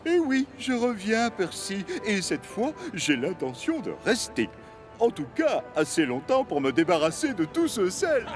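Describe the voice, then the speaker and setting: A good-natured cartoon locomotive, keen to help and a little sensitive. His voice is high for a man and swings expressively, over faint music. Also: huge pitch swings, a little slow, exaggerated voice. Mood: amused, fond. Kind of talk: French-language children's cartoon dub. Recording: music behind the voice.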